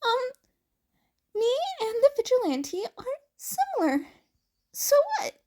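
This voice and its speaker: A woman's voice: extremely high pitch, sing-song, takes it slowly, a little mellow, pitched above the performer's own voice, full character voice. A woman voicing an anxious, tearful teenage boy who stammers and gets flustered, in a fan fiction reading. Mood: panicked.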